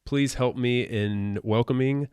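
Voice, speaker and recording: A man's voice: mid-range voice, takes it slowly, heavy background noise. A man hosting a podcast interview, enthusiastic and full of fillers and restarts. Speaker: podcast host. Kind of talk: casual interview. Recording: dull lo-fi sound.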